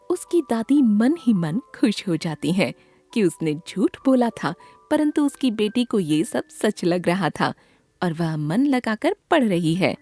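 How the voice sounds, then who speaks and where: Female storyteller, scripted children's tale, expressive swooping pitch, husky tone, soft music behind.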